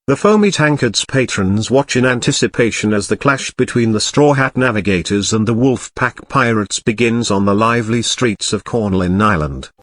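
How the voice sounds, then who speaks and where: A man's voice: lowish voice, expressive, moderate pace, few pauses, fairly bright tone, dry recording. A man narrating a scripted adventure audio drama.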